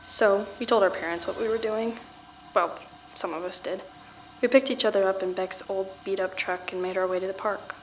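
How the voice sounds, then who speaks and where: Actress as guarded teenage murder suspect, mid-pitched, subdued and halting, audio drama, light background noise. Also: female voice, steady tone, close to an everyday voice, low-quality recording. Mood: subdued, reminiscing. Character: guarded teenage girl accused of murder, recounting events.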